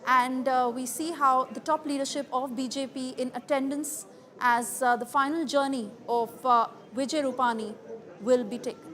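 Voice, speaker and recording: A woman news anchor with an Indian accent and a notably high voice. She presents live, pausing and repeating words as she goes. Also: fairly even intonation, a bit bright.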